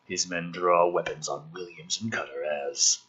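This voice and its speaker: A man reading a film script dramatically and breaking off for sarcastic, profane commentary, with a rough-edged voice. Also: deep voice, fairly even intonation, normal speed, fairly bright tone, lo-fi sound.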